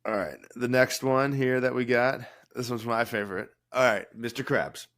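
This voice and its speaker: A man with a cold, co-hosting a podcast in a low, mellow voice, joking and rambling with restarts. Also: normal speed. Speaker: podcast co-host, former cruise director. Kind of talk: casual podcast chat.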